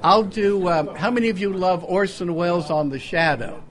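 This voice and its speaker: A man with a high-pitched voice banters casually as an amateur entertainer, showy and quick to repeat himself. He is recorded live with a clearly audible crowd around him. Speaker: amateur entertainer at a club luncheon. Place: restaurant banquet room. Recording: live in a busy crowded room. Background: chattering luncheon crowd.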